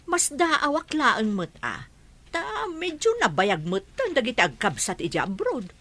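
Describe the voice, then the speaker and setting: An opinionated village gossip played by a woman in a radio comedy. Her voice is bright, fairly high and very animated. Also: measured pace, full character voice. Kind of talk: Ilocano radio comedy drama acting.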